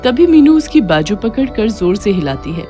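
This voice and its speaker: A woman's voice: mid-range voice, sing-song, moderate pace, mellow, faint music behind. A woman narrating a scripted moral story for children as a storyteller.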